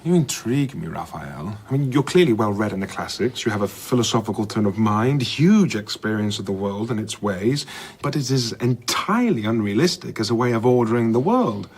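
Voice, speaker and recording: An actor playing a courteous, sceptical and curious scholar-diplomat in an audio drama, articulate and conversational in debate. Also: male voice, fairly low pitch, expressive, fairly quick, slightly rough.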